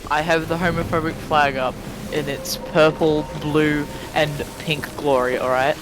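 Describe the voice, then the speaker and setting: Higher-pitched man bantering over noisy voice chat. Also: steady tone, unhurried. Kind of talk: casual banter during a game stream. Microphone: online voice chat.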